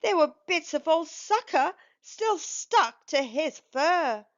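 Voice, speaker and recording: Female storytime narrator for young kids: high register, slow and measured, expressive read-aloud, slightly dull recording. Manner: measured, animated storytelling delivery. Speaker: children's storytime channel host and narrator.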